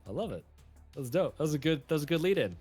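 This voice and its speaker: A man's voice: on the high side, big pitch swings, rapid-fire, a little mellow, husky, soft background music. A man running a tabletop roleplaying game as game master, narrating in a loose way with lots of fillers and laughs.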